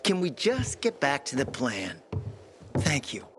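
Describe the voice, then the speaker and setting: A man's voice: mid-range voice, wildly swooping pitch, measured pace, music in the background. An actor playing a sardonic male companion who mocks everyone around him. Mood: sarcastic. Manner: sarcastic, mocking. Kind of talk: video game cutscene.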